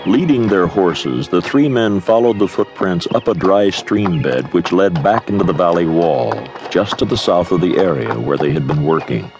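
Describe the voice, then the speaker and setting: A man's voice: low voice, lively intonation, normal speed, hardly any pauses, music in the background. A man reading scripted dramatic narration for a western audio drama.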